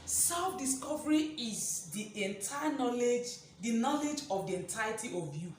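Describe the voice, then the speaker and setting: A woman with a loud, projecting voice giving a motivational teaching talk, emphatic, repeating key phrases and putting rhetorical questions to listeners. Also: fairly high pitch, lively intonation, moderate pace. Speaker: motivational speaker and presenter. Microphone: distant camera mic, no microphone on speaker. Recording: echoey room, distant mic, faint noise.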